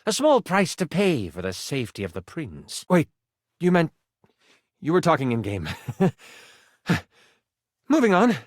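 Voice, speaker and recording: Animated, playful male game master. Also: on the high side, measured pace, pauses often, dry recording, clean studio sound. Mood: playful, amused. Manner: dramatic storytelling, expressive, playful. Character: living D20 die as eager, playful game master. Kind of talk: theatrical tabletop game narration with playful asides.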